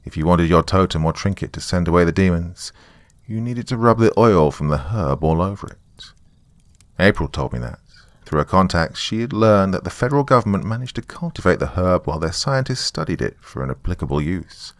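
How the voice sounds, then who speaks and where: A man's voice: sits low, expressive, a little fast, no room sound. A man narrating a first-person horror story in a conversational, wry way.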